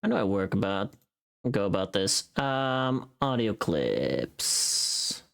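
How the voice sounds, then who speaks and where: Female streamer, deep-voiced and leisurely, for playful, casual, teasing live chat. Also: very animated, takes it slowly, gravelly. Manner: swears casually.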